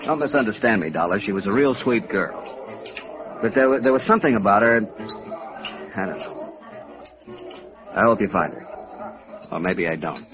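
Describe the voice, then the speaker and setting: An American actor playing a curt, world-weary, bitter doctor in a 1950s radio drama. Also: male voice, lowish voice, lively intonation, normal speed, pauses often, over music, natural voice. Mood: rueful. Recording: dull lo-fi old radio recording.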